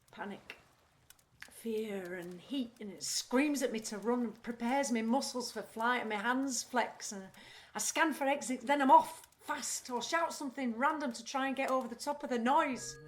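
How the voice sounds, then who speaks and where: An actress playing a troubled, candid, anxious woman in an audio play, halting as she searches for words. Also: a little high, lightly acted. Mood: anxious, intense. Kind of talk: audio play acting, confessional monologue.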